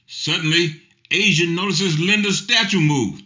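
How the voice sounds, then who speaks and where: A man reading scripted narration in an even, announcer-like way. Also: on the high side, a little slow. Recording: dull, lo-fi sound.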